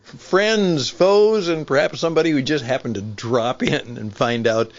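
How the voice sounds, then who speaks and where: An older man, over sixty, hosting a solo radio-style podcast as a veteran broadcaster, talking conversationally in deliberate, emphatic phrases and often rewording as he goes. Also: mid-range voice, muffled recording. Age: 60s or older.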